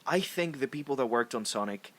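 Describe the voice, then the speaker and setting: A man's voice: medium pitch, lively intonation, a little fast, a bit bright. Blunt man giving casual opinions. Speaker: podcast co-host. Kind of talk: casual film discussion.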